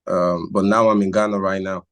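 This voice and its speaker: Deep flat-voiced young man. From Nigeria. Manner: earnest, halting, circles back on his words. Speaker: musician guest. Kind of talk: casual interview answers.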